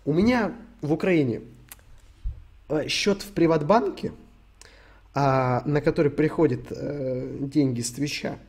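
A man's voice: a little high, a little slow, pauses often, darkish tone, husky. A young man from Ukraine streaming, answering chat questions in a rambling, sweary, joking way. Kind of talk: casual stream Q&A.

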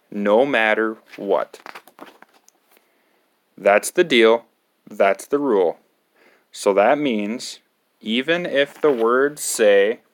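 A man reading a picture book aloud to children as a storytime host, with a theatrical, exaggerated delivery. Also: lowish voice.

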